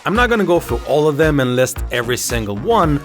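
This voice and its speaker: Man with lively, swinging intonation over music. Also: fairly high pitch, fast talker. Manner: casual, sweary, conversational. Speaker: video essay host. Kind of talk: casual opinionated commentary. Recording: music behind the voice. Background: background music.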